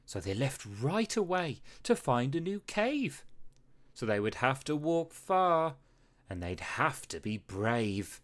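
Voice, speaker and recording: A storybook narrator reads rhyming verse for children. The delivery is unhurried and full of dramatic swings, with expressive phrasing throughout. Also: medium pitch. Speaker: storybook reader. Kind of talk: children's read-aloud narration in rhyme.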